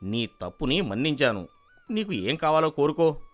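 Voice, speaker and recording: A man voicing a commanding village landlord with a grand, emphatic delivery in an animated moral story. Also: fairly high pitch, normal speed, faint music behind. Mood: solemn, grateful.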